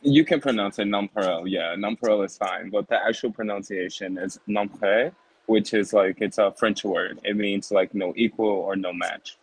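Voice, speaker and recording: A man's voice: lowish voice, normal speed, a little hiss or hum, poor audio. A man appearing as a guest on a podcast interview, polite and appreciative, answering in short affirmations and laughing easily.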